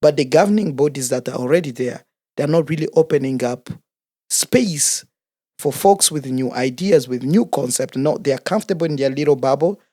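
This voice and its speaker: A man's voice: mid-range voice, warm tone, dry recording. Earnest man from Congo, dry sound. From Democratic Republic of the Congo. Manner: earnest, unhurried storytelling with fillers. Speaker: guest, soccer coach and organizer. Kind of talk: casual interview conversation.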